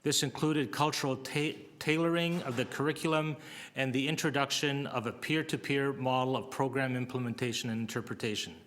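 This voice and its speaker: A man's voice: medium pitch, fairly even intonation, fairly quick, a bit bright. A man presenting a public health program at a city board meeting, reading prepared remarks with occasional fillers and restarts. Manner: reads prepared text with fillers. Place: council meeting room.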